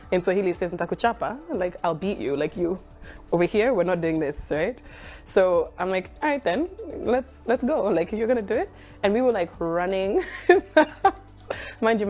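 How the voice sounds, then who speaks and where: A woman, a radio journalist, telling an animated story and acting out quotes with lots of laughter. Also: on the low side. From Kenya. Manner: lively, acts out quotes, laughs a lot.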